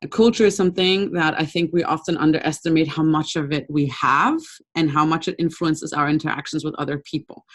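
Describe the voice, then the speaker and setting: A young woman from Brazil giving an informal webinar talk over a video call, conversational and relaxed with frequent ums. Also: deep voice, fairly quick. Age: young adult. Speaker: webinar presenter.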